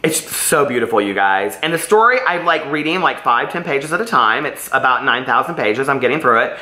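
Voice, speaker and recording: A man's voice: medium pitch, lively intonation, fairly quick, sharp tone, reverberant. A man chatting casually and animatedly as a book vlogger, rambling off on tangents.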